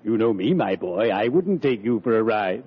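A man's voice: lowish voice, expressive, moderate pace, very noisy, lightly acted. Guy doing a friendly morbid undertaker, grand and hammy, old radio comedy, kinda muffled recording. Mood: cheerfully conspiratorial. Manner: grandly theatrical, genteel, punning. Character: friendly, theatrical, morbidly cheerful male undertaker. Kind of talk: 1940s-50s American radio comedy acting.

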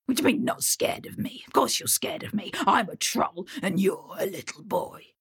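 A woman voicing a big, hairy, greedy male troll in a breathy whispered growl. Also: mid-range voice, animated, normal speed. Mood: puzzled bossy.

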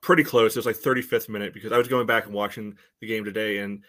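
Flat, lowish male podcast voice. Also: quick pace. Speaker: soccer fan podcast panelist. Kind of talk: casual game analysis.

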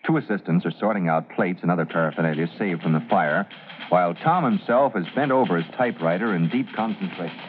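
A man's voice: low-pitched, fast talker, noisy background, lightly acted. An American man performing as the stirring, emphatic announcer of a firefighter adventure serial on old-time radio. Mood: dramatic, urgent. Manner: theatrical, emphatic. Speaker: announcer and narrator. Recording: muffled vintage radio recording.

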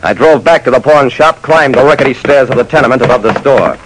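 A man's voice: mid-range voice, fast, very noisy, close to an everyday voice. An actor playing a wry, slangy detective who narrates his case in a clipped old-time radio style. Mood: wry, narrating. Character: dry, soft-hearted male detective telling his case. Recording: vintage radio broadcast.

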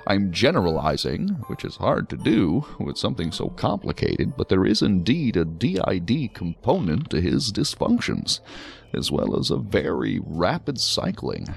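Musing, gravel-edged psychiatrist, swooping pitch. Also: male voice, wildly swooping pitch, moderate pace, faint music behind, full character voice. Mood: engaged, explanatory. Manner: wordy, musing, explanatory. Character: male psychiatrist, talkative, learned, genially hedging. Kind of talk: science fiction audio drama acting.

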